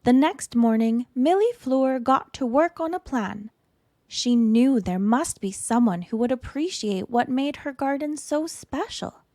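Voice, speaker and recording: A woman narrates a children's storybook with expressive care. Her voice sits fairly high and she takes her time over each phrase. The sound is dry and close. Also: a little slow, no echo. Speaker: storytime reader. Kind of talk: read-aloud children's picture book narration.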